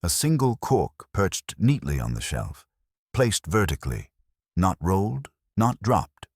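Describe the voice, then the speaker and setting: A man's voice: deep voice, big pitch swings, measured pace, husky, no room sound, studio quality. A man narrating a first-person detective story in a wry, dramatic storytelling manner. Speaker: audiobook narrator.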